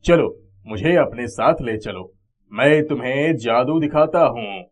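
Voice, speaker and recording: A man's voice: medium pitch, lively intonation, a little slow. A man voicing a kindly, all-knowing magical orange in a cartoon moral story, reassuring and helpful.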